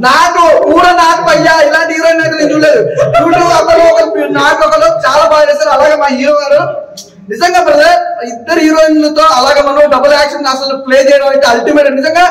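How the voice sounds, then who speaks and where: An effusive, emphatic man, often shouting as he gives an excited movie review as an audience member. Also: extremely high pitch, quick pace. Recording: echoey, distant mic with crowd noise. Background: crowd.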